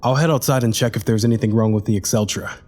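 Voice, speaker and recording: A man's voice: lowish voice, fast talker, lightly acted. A man playing an anxious, bitter, foul-mouthed spacecraft crew member in an audio drama. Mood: tense, resolute. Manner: muttering to himself, swearing, sighing. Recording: long hall-like reverb under loud music.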